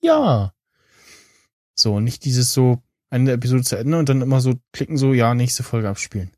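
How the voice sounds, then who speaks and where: A man co-hosting a podcast, talking casually and haltingly as he thinks aloud. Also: mid-range voice, very animated, a little mellow, no echo, studio quality. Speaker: podcast co-host. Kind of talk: casual, unscripted podcast chat about tech and streaming.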